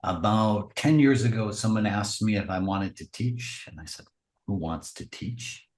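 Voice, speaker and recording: A man's voice: fairly low pitch, a little slow, reverberant. A man introducing himself as an entrepreneur in a webinar, upbeat and wry. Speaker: entrepreneur and author, webinar co-host.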